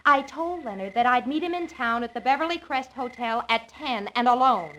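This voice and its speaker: An American actress playing a cool, scheming ex-wife, brisk and commanding, in a 1940s radio drama. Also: female voice, on the high side, expressive, a bit bright, lo-fi sound, a little hiss or hum. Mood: exasperated.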